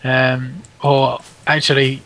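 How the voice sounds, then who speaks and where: A man's voice: mid-range voice, flat delivery, slow, fairly bright tone, rough recording. A man with an English accent chatting playfully while gaming, teasing his friend with sudden outbursts. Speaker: co-host playing a game. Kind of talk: casual gaming chat.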